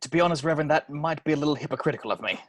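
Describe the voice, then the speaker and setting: A man playing a stern, by-the-book police sergeant in a script table read, clipped and deadpan. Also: a little high, very fast, natural voice. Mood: stiffly formal. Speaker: amateur actor in an online table read.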